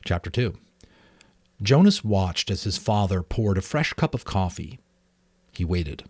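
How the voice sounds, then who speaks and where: A man's voice: deep voice, lively intonation, unhurried, a little mellow, slightly rough, dry recording. A man reading a story aloud in an informal, dramatic way, sometimes stumbling and correcting himself. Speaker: amateur audiobook reader.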